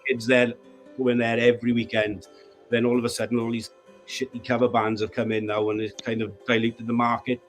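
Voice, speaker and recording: A man's voice: mid-range voice, level tone, a little fast, soft background music. Welsh man from a band. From Wales. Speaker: band member, guest. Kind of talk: casual interview chat.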